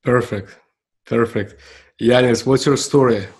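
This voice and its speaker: A man hosting an interview podcast. He welcomes the guest and asks brief, upbeat questions. His voice sits at a middle pitch. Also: fairly even intonation, measured pace, darkish tone. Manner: upbeat, short prompting questions. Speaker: podcast host.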